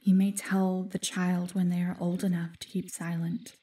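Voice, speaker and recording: A woman playing a solemn, guarded forest guardian spirit in a fantasy audio drama, speaking formally and in measured, breathy half-whispers. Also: lowish voice, flat delivery, mellow, far from the mic, natural voice. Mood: grave, instructing.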